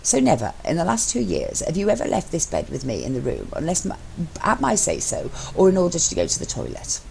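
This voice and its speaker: A woman playing a bossy, exasperated, gravelly husband. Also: fairly low pitch, huge pitch swings, a little fast, warm tone, slight background noise, pitched above the performer's own voice, heavily acted, low-quality recording. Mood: sardonic.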